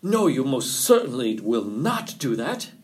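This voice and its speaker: Man playing a proud, insistent storybook rabbit, lively pitch. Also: a little slow. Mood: defiant. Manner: defiant, insistent, boastful. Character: proud, stubborn male rabbit chief, demanding and eager. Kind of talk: animal folktale storytelling.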